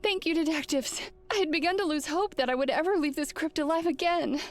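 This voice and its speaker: A genteel lady in distress from a mystery game, played by an actress, with a high-pitched voice. Her delivery is earnest and dramatic, full of anxious worry. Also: brisk, close to an everyday voice. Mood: shaken, grateful. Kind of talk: theatrical game cutscene acting.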